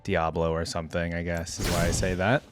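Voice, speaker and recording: A man's voice: low voice, flat delivery, brisk, music in the background. A man giving casual reaction commentary on video game character skins, thinking aloud as he goes. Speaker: reaction channel host.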